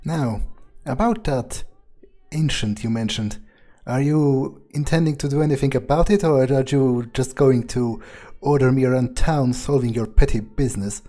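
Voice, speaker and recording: A man's voice: medium pitch, moderate pace, mellow. A man thinking aloud in character as he plays a video game, blunt, profane and musing. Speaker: video game player role-playing a character. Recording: game music under the voice. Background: game music.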